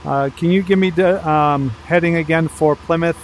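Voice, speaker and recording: A man thinking aloud haltingly as he plays a pilot in a flight simulator, mixing commentary with radio calls. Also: on the high side, fairly even intonation, background noise.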